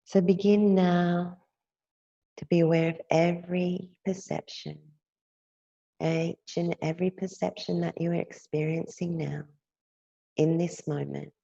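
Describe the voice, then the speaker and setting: A woman teacher leading a guided yoga nidra meditation in a gentle, soothing, unhurried way, leaving long pauses between phrases. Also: lowish voice, steady tone, creaky, dry recording, dull audio.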